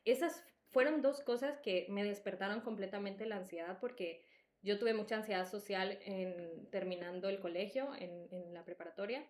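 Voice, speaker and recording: A young woman with a Salvadoran accent softened by Mexican, telling personal stories in a candid, emphatic, conversational way as a podcast host. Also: mid-range voice, moderate pace. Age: young adult. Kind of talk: casual personal storytelling. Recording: echoey room.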